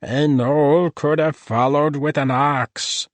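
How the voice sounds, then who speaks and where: A man's voice: fairly high pitch, steady tone, slow, dry recording, voice pushed up. A man voicing a blunt male gnoll warrior who speaks in clipped broken phrases and refers to himself in the third person. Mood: indignant. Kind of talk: audiobook character voice.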